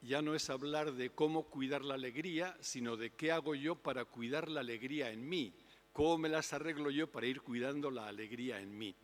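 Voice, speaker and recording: A man's voice: medium pitch, brisk. Older man speaking in a reverberant hall. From Spain. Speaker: invited speaker. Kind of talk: public talk on spirituality. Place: lecture hall.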